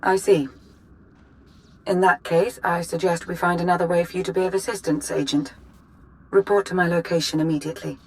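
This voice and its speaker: A woman's voice: on the low side, steady tone, natural voice, rough recording. An actress as a composed female intelligence minister. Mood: cool, commanding. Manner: measured, businesslike. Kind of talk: video game voice acting.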